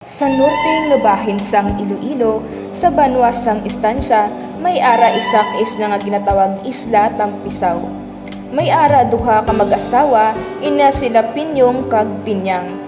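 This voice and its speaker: Woman narrating over loud music. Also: fairly high pitch. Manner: steady, measured storytelling. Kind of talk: scripted storybook narration. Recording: echoey room with loud music.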